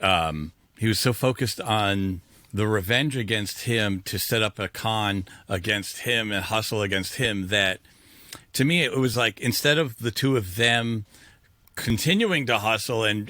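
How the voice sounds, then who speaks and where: Bright-voiced man, close and dry. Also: fairly low pitch, moderate pace, no echo. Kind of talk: casual film discussion.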